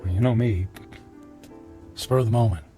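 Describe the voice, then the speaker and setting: Actor as halting, remorseful businessman over music. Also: fairly low pitch, warm tone, close to an everyday voice. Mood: nervous. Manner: halting, stammering, earnest. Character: remorseful middle-aged businessman, hesitant and pleading ex-husband. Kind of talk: radio drama acting. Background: dramatic background music.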